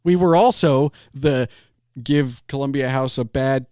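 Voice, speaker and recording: A man's voice: medium pitch, unhurried, a little mellow, smooth, no room sound, clean studio sound. A middle-aged man in casual podcast conversation, rambling and digressive, often breaking off his clauses to start another. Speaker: podcast host.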